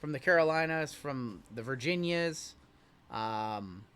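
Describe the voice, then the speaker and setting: A man's voice: mid-range voice, animated, takes it slowly, fairly bright tone, slight background noise. A man hosting a live card break, chatting casually and reading the chat aloud with a joking manner. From Iowa. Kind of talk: casual live-stream chat while opening cards.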